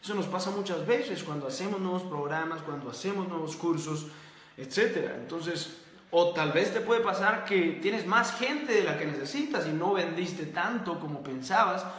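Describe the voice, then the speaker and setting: A man's voice: high voice, lively intonation, moderate pace, a bit bright, roomy sound, a little hiss or hum. A man hosting a live motivational talk, emphatic and repetitive, ticking off long lists and addressing the audience directly. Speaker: host.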